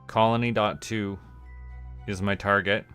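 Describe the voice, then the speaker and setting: A man's voice: fairly low pitch, fairly even intonation, a little slow, a bit bright, smooth, faint music behind. A man giving casual livestream game commentary, thinking aloud as he goes. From Canada. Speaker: game streamer.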